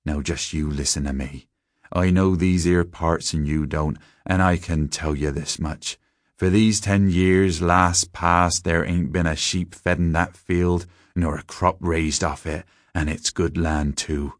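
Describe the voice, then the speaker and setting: A man's voice: sits low, fairly even intonation, a little slow, no room sound, studio quality. A man voicing an old country shepherd with a rural English accent, grave and plain-spoken, giving folksy, emphatic warnings in a storybook reading.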